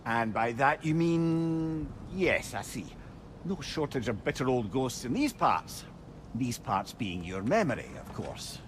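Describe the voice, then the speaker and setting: An actor playing a wry, talkative old adviser, wordy and philosophical, in a video game. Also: male voice, mid-range voice, sing-song, a little slow, slight background noise. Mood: reflective, advising. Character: wry, talkative, counselling old male companion. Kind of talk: dramatic video game acting.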